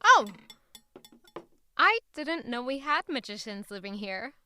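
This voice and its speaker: A woman's voice: high-pitched, big pitch swings, slow, sharp tone, clean tone, exaggerated voice. An actress playing an anxious young traveling woman with a secret in an audio drama, tense, guarded and hesitant. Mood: polite, guarded.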